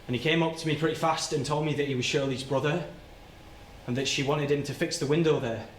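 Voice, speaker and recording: Male actor as haunted working-class brother, higher-pitched voice, halting and plain-spoken, stage monologue in a roomy space. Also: fairly even intonation, lightly acted. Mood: uneasy recollection. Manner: halting, plain-spoken, repeats and stumbles over words. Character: anguished, guilt-ridden working-class man confessing to his sister. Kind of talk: live theatrical monologue. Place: indoor soundstage. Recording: roomy, noisy soundstage livestream.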